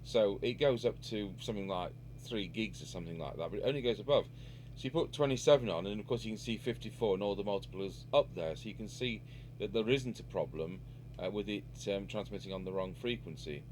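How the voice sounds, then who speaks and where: A man with a fairly low voice talks through a hands-on repair job. There is some background noise and hum behind him. He speaks off the cuff, thinking aloud as he works. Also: brisk, darkish tone. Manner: unscripted, musing aloud while working. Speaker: radio repair hobbyist and video presenter. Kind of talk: casual hands-on repair demonstration.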